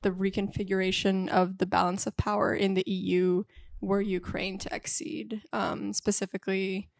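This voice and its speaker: A young woman student politely asking a question from the audience, a little halting. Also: medium pitch, flat delivery, vocal fry, no room sound, clean studio sound. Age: young adult.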